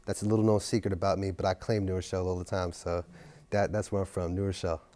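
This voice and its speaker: A man, a city council member, speaking earnestly and at a measured pace in an interview. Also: low-pitched, level tone, dark tone. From New York. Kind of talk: interview reflection.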